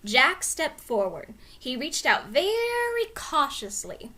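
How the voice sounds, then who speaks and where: Casting: female storybook narrator, very lively melodic delivery, high voice, expressive and dramatized. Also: slow. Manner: expressive, clearly dramatized reading. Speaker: storybook reader. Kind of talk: animated children's read-aloud narration.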